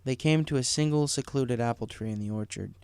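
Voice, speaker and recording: A man's voice: mid-range voice, fairly quick, creaky, darkish tone, clean tone, dry recording. A man reading a scripted story as its narrator. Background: background music.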